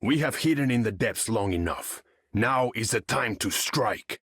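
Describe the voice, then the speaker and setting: A proud ocean monarch for a video game, husky and swooping in pitch. He speaks in grand, pompous phrases, imperious and haughty, in a close, dry recording. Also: lively intonation, measured pace, fairly bright tone, no echo, full character voice. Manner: pompous, formal, grandiose, speaks of himself in third person. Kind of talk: video game character voice lines.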